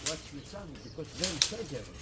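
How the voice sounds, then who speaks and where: A man chats casually in broken, non-native English. His delivery is very slow, with lively swings in pitch and short repeated phrases. He sounds distant amid loud outdoor noise with birds. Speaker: neighbour. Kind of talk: casual chat.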